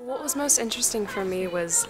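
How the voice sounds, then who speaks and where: A woman's voice: mid-range voice, lively intonation, brisk. A young woman, a stage actress, talking in an interview. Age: young adult. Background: loud music.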